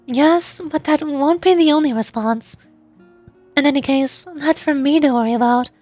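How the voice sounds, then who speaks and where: A woman's voice: sits high, normal speed, darkish tone, faint music behind. A woman streamer reading game character lines aloud in her own voice, then chatting casually.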